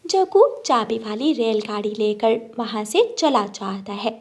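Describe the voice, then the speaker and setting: A woman's voice: on the high side, expressive, unhurried, darkish tone. A woman narrator reading a scripted moral story for children.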